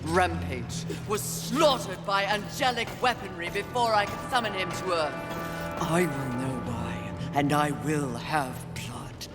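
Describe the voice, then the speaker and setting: A woman's voice: lowish voice, expressive, measured pace. A woman voicing a proud, wrathful female warrior of the apocalypse in a video game, speaking in a curt, imperious, disdainful way. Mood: cold, defiant. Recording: music behind.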